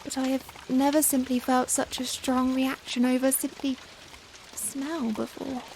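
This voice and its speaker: A woman playing a young, genteel Victorian vampire in a soft-spoken ASMR roleplay, with formal old-fashioned phrasing and hesitant pauses. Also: high voice, level tone, poor audio. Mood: sombre, confessional. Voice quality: soft-spoken, breathy in hushed moments. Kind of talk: ASMR roleplay, softly spoken dramatic monologue. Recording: faint rain-like background noise. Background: rain ambience.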